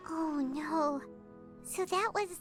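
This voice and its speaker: A woman voicing a small, excitable, childlike female fairy companion in a video game, with a squeaky, exclaiming delivery. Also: takes it slowly, soft background music. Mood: worried.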